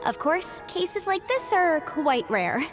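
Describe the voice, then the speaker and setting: Young game lawyer, high voice, animated intonation, polite, articulate, cheerfully businesslike, music behind. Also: female voice, slow, heavily acted. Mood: polite, explanatory. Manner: articulate, explanatory. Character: bright, polite young female legal advisor, cheerfully businesslike. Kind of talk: English video game dub.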